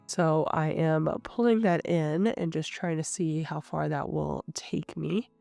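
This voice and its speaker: Woman, nasal and stuffy, slightly rough, calm explanatory voice-over, faint guitar music behind. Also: low-pitched, unhurried, dry recording. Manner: calm, explanatory, thinking aloud. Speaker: planner channel host. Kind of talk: voice-over narration of a craft session. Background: soft guitar music.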